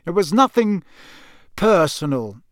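A man's voice: sits high, big pitch swings, measured pace, no echo, clean studio sound, pitched above the performer's own voice. A man reading an audiobook, voicing a pompous, sanctimonious young man. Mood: prim.

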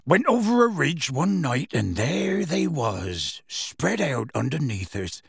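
A huge, gruff half-giant gamekeeper tells his tale. The voice is husky and rough, swinging freely in pitch, with folksy, unhurried phrasing. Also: sing-song, no room sound, studio quality, full character voice. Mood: matter-of-fact. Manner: folksy, ungrammatical, unhurried storytelling. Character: huge gruff half-giant gamekeeper, rustic, warm-hearted, plain-spoken. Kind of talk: audiobook character voice.

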